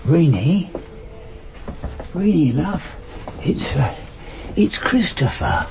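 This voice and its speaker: A fussing, devoted old husband. His voice is fairly high and creaky, hesitant yet stubborn, in radio drama style. The recording is muffled. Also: sing-song, very slow, noisy background, exaggerated voice. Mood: flustered, anxious. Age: older. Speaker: actor in a radio play. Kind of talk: naturalistic British radio drama acting.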